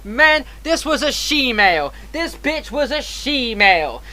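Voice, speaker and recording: A man's voice: extremely high pitch, sing-song, measured pace, sharp tone. A man casually telling a personal story as a streamer, in an emphatic, slangy, heavily profane way.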